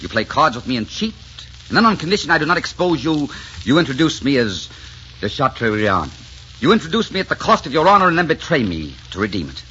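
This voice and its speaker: An actor playing a proud, gallant, mocking French prince in theatrical, witty 1940s radio drama style. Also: male voice, on the high side, very animated, quick pace, bright, forceful tone, a little hiss or hum. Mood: mocking, defiant. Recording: muffled 1944 radio broadcast with music.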